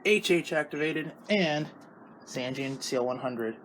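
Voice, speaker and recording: A man's voice: a little high, measured pace, fairly bright tone, a little hiss or hum, rough recording. A man chatting casually as he hosts a hobby video about his radio gear. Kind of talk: casual hobby vlog chat.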